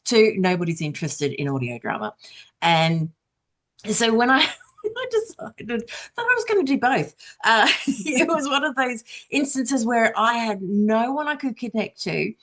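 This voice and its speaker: A woman podcaster chatting casually on a panel, enthusiastic and quick to giggle as she talks. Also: on the high side, big pitch swings, a bit bright. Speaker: audio drama podcaster, former radio worker.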